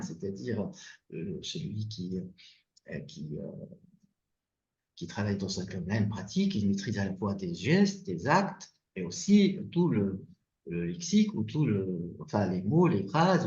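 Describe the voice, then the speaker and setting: A man from Tunisia who wrote the book, discussing philosophy in an animated way while searching for his words. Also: fairly low pitch. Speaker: philosophy author leading the discussion. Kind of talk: academic discussion. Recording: echoey, dull lo-fi sound.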